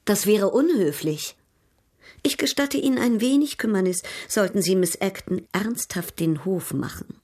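An actress playing a worldly, teasing older baroness in a radio drama, polished, condescending and coquettish. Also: female voice, mid-range voice, animated, measured pace, mellow. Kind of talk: German radio drama acting.

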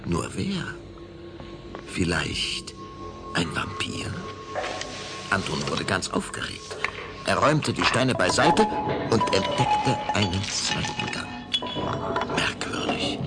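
A man narrating a children's audio drama in a measured, suspenseful storytelling style. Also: sits low. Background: clear background music.

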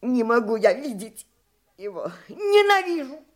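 An actress playing an embittered older woman, a war survivor, in a radio drama, speaking in an anguished, halting way. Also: fairly high pitch, sing-song. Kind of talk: Russian radio drama acting.

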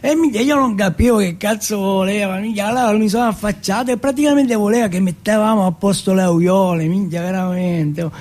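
A man playing an excitable, foul-mouthed teenage street boy from Turin in a stage monologue, slangy and repetitive. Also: high voice, brisk, hardly any pauses, voice pushed up, natural voice. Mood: excited reminiscing. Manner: slangy, repetitive, swearing at every breath.

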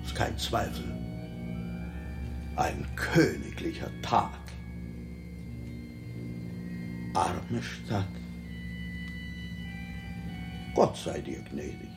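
A contemptuous, melancholic monarch in a 1960s radio play. He savours his words theatrically and slowly, the pitch rising and plunging with royal disdain. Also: animated, music in the background, heavily acted. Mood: mournful farewell. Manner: pompous, theatrical, savouring each phrase.

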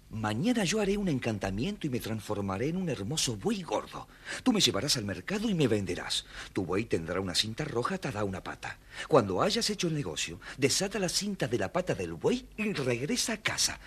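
An actor playing a cocky, eager young son with magic powers in a theatrical children's audio story. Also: male voice, mid-range voice, wildly swooping pitch, normal speed, fairly bright tone, husky, heavily acted. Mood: eager, confident.

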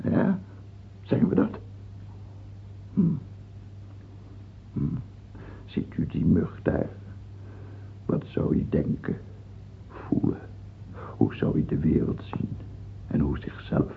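An actor playing a dying, tyrannical king of France in a radio drama, fearful and halting, then imperious. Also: very deep voice, huge pitch swings, vocal fry, a little hiss or hum, heavily acted. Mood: brooding. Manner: halting and stammering, turning imperious. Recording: muffled, tinny old radio-play recording.

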